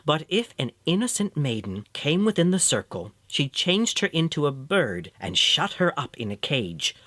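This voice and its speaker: A man narrating a scripted fairy tale as an expressive, measured storyteller. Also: on the high side, no room sound.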